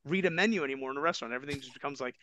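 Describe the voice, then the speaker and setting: A man's voice: mid-range voice, very fast, pushed and bright. A man in his forties, a guest on a casual sports podcast, rambling and self-deprecating. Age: 40s.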